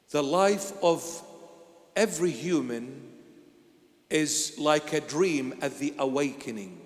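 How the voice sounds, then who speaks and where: A bishop from Iraq, preaching a sermon dramatically, swinging from loud exclamations to hushed lines. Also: male voice, on the high side, in a large hall.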